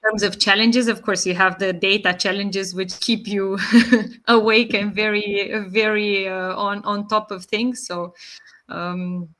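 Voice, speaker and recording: A woman in her thirties with a Romanian accent, answering interview questions in a measured way with many pauses and fillers. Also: medium pitch, flat delivery, pushed and bright. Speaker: guest. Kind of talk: interview answers, online live session.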